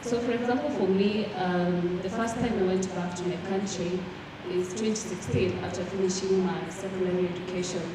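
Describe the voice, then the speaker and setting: A woman's voice: mid-range voice, fairly even intonation, moderate pace. A young woman from Rwanda speaking earnestly as a panelist, with frequent fillers and restarts. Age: young adult. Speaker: panelist, student representative. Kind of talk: panel discussion answer. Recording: echoey hall from far off with background noise.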